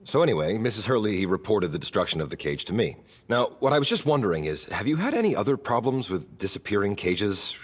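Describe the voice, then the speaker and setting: A man playing a persistent police detective in an audiobook drama, husky and mock-casual, feeling his way through his questions. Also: lowish voice, sing-song, fast, long reverb tail. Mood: casually probing.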